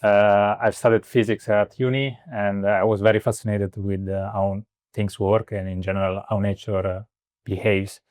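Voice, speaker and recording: Hesitant Italian man, flat low voice. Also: moderate pace, no echo, clean studio sound. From Italy. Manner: hesitant, many fillers and restarts. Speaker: guest, startup co-founder. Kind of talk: interview answers.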